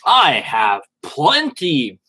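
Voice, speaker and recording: A man's voice: on the high side, wildly swooping pitch, drawn-out words, bright, forceful tone, slightly rough, voice pushed up, heavily acted. A man voicing a pompous, self-righteous religious internet debater in a melodramatic, condescending mock reading. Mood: mock-wounded, grand.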